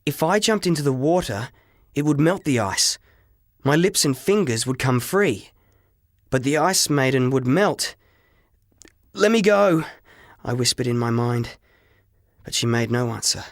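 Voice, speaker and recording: A man narrating a story in the first person as an audiobook reader, with expressive, dramatic, deliberate phrasing. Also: mid-range voice, no echo, studio quality.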